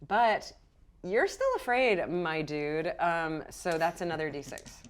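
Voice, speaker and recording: Casual, lower-pitched woman game master. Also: lively intonation, takes it slowly, clear, resonant tone. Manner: informal, thinking aloud. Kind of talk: casual tabletop game narration.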